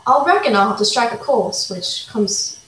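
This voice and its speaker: A woman's voice: fairly high pitch, animated, moderate pace, fairly bright tone, echoey room, slight background noise, low-quality recording. A woman playing a villainous male pirate mariner in an amateur radio play, with taunting rustic speech. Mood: wheedling. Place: indoors, plain room, remote recording.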